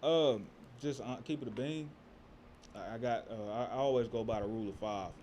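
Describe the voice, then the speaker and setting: A man with a bassy voice, a rapper from Texas, talking in a laid-back, slangy way in a casual interview. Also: normal speed, darkish tone, slight background noise. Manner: laid-back, slangy, keeps saying "you know what I'm saying". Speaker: rapper guest.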